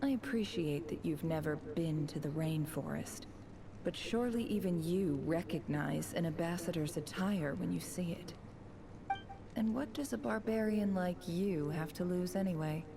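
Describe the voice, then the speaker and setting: Haughty low-voiced lady ambassador. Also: gravelly, noisy background. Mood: condescending. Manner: measured, formal, persuasive, haughty. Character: smooth, condescending female ambassador, scheming and self-assured. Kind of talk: scripted video game cutscene acting. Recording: loud music behind the voice. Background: loud game soundtrack music.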